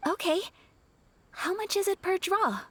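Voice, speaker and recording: An actress playing a shy, polite young noblewoman in a video game, formal and gently hesitant. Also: very high-pitched, animated, a little slow, dry recording, exaggerated voice. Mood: polite, curious.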